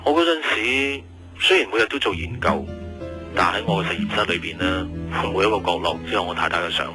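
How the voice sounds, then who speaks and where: A man with a Hong Kong Cantonese accent playing a kindly, patient scientist from the future in a radio drama, gentle and reassuring. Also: low voice, lively intonation, fairly quick, over music. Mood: tender, regretful.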